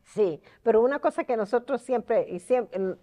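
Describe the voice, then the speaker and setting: A woman's voice: fairly high pitch, lively intonation, normal speed, dark tone. Puerto Rican woman chatting in Spanglish. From Brooklyn, New York. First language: Spanish. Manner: mixes English words into Spanish. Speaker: interview guest. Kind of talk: casual personal storytelling.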